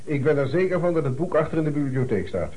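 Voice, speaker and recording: An insistent investigator in a radio play. He sounds mellow and a little husky, with a swinging, lively intonation as he probes and commands with open scepticism. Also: fairly high pitch, quick pace. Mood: probing, sceptical. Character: insistent male investigator questioning witnesses about a death. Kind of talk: Dutch radio play acting.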